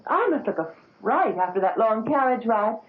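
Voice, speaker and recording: A vain, fame-loving young wife in an old radio drama, high and swooping as she gushes and coaxes. It comes through thin and tinny, like an old broadcast. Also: big pitch swings, moderate pace. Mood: excited. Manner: gushing, coaxing, theatrical. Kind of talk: 1970s radio drama acting.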